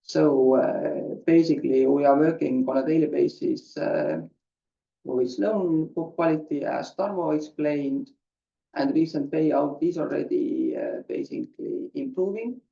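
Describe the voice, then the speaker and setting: A man presenting financial results on an earnings call as a finance executive, haltingly and with frequent filler sounds, over muffled, roomy call audio. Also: on the high side, sing-song, gravelly, mellow. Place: conference call.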